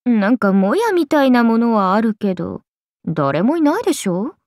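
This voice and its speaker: A woman's voice: big pitch swings, moderate pace, darkish tone, no echo, studio quality. A woman voicing a playful, teasing serpent-oni goddess for a mobile game, with breezy big-sister airs and a drawling, sake-loving manner. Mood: casual, puzzled. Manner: breezy, drawling, teasing, slipping into low murmurs. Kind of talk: Japanese mobile game character voice lines.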